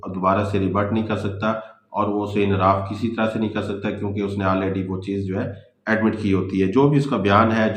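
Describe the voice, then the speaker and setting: A man with a Pakistani accent giving an instructional law lecture, reading legal text aloud and explaining it, mixing in English legal terms. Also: lowish voice. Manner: mixes English legal terms with explanation in his own language. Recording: echoey, reverberant room.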